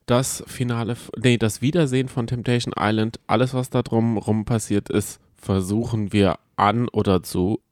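A man with a slightly low voice co-hosts a casual podcast. His way of talking is playful and joking. The recording is very dry and free of echo. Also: flat delivery, normal speed, hardly any pauses, a little mellow. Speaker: podcast co-host. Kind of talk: casual chat.

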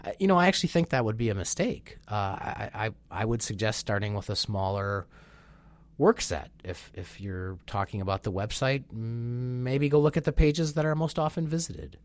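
Nasal, congested low-voiced man. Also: moderate pace, no echo. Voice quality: slightly nasal. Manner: animated and enthusiastic, with frequent "you know" fillers. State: congested, getting over a sinus infection. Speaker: webinar co-host. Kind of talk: conversational business webinar. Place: Chicago.

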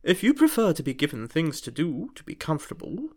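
A woman voicing a prim, fussy, gentle male angel bookseller with polite, old-fashioned manners, in a storybook reading. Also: sits low, very animated, a little fast, breathy edge, heavily acted. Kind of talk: audiobook character voice.

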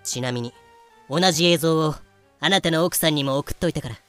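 A woman's voice: low-pitched, fairly quick, no room sound, soft background music, lightly acted. A woman playing a composed, cutting lady with a cool, sardonic, ladylike manner in an audio drama. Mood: coldly scornful. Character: composed, cutting lady who coolly narrates pirate tales. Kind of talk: fan-made Japanese voice drama acting.

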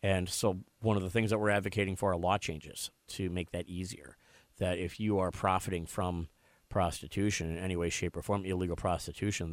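A man's voice: low voice, dry recording. An American man hosting a live talk radio show, discussing issues and interviewing guests. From United States.

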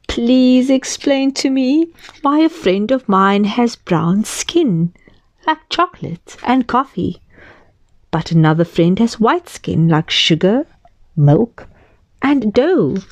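A woman's voice: on the high side, expressive, slow pace, darkish tone, dry recording, pitched above the performer's own voice, heavily acted. A woman voicing a curious young boy full of questions in a read-aloud storybook. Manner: earnest, wondering, asks questions.

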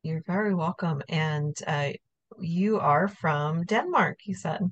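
A woman hosts a podcast in a casual, chatty way, full of small fillers. Her voice is notably low for a woman. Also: lively intonation, takes it slowly, dull audio. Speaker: podcast host. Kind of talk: casual chat.

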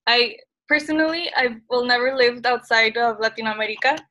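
A woman's voice: on the high side, fairly quick, sharp tone. A teenage girl from El Salvador hosting a casual podcast chat, chatty and upbeat with many fillers. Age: teens. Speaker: podcast host.

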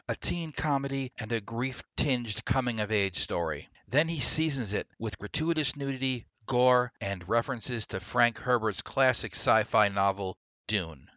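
A man hosting a podcast, delivering a scripted monologue and review with emphatic, deliberate delivery. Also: medium pitch, pushed and bright, dry recording. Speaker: podcast host. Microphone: close, dry podcast microphone.

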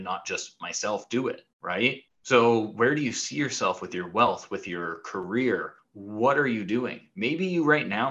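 Male podcast coach, thirties, low and forceful, blunt and swearing, muffled lo-fi audio. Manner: blunt, casual, swears freely. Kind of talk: motivational monologue.

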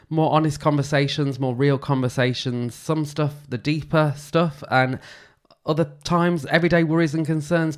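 A man in his forties, slightly nasal from recent illness, hosting his podcast alone in an earnest, reflective and candid way. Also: mid-range voice. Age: 40s. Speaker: podcast host. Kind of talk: solo podcast monologue.

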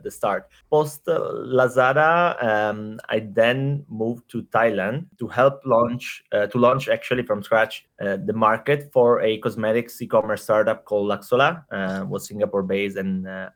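A man's voice: on the low side, steady tone, moderate pace, few pauses. A man in his thirties from Italy with an Italian accent, talking through his career as an interview guest with many fillers and restarts. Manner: hesitant, frequent fillers and repeated words. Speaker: startup executive guest. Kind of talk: casual interview conversation.

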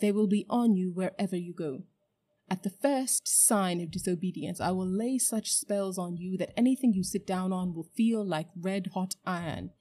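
Woman doing a cold scornful witch queen, loud and bossy, dark mellow tone. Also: mid-range voice, natural voice. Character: imperious, cruel giant witch queen.

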